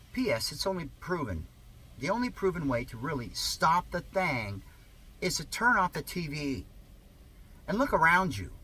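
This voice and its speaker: A man reading an article aloud in a sarcastic, theatrical manner, with drawn-out emphasis and muttered asides. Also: a little high, sharp tone, a little hiss or hum. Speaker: amateur reader of an article.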